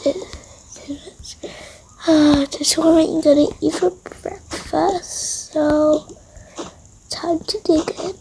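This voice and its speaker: A young-sounding storyteller improvising a pretend-play roleplay with several characters, in halting phrases. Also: sits high, steady tone, vocal fry, mellow, slight background noise. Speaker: storyteller acting out toy roleplay.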